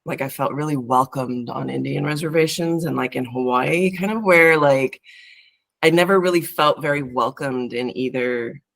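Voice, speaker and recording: A woman's voice: a bass voice, moderate pace, gravelly, fairly bright tone. A woman from California, a therapist being interviewed, talking in a rambling, reflective way with many fillers and restarts. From California, United States. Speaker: therapist, podcast guest. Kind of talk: casual interview talk.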